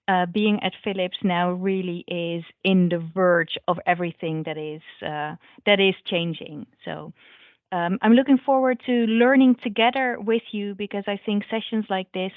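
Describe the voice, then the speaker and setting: A woman's voice: mid-range voice, no room sound, narrow, tinny audio. A woman introducing herself as a change manager on a panel call, friendly, with fillers. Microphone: video call.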